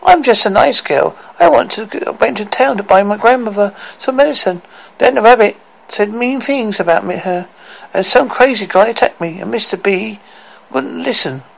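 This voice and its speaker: A man doing a shrill pleading young woman. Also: normal speed, gravelly, fairly bright tone, heavily acted, low-quality recording. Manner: high, pleading, breathless girlish voice.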